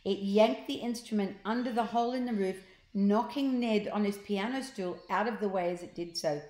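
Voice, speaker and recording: A woman in her sixties reading a children's story aloud expressively and deliberately, with asides to young listeners. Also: mid-range voice, darkish tone. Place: large open living room, indoors. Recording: roomy, echoing living room.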